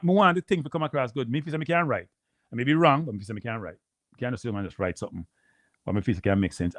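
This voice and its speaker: A Jamaican man hosting a live stream, rambling casually to his viewers and repeating himself, with a deep voice. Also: no room sound, clean studio sound. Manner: rambling, repeats phrases, addresses viewers as people. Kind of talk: casual livestream chat.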